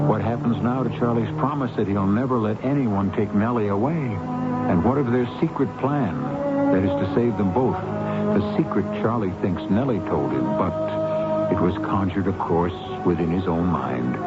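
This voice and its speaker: A man hosting and narrating a radio drama in a portentous, theatrical, scripted style. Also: lowish voice. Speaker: host and narrator. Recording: muffled old radio broadcast.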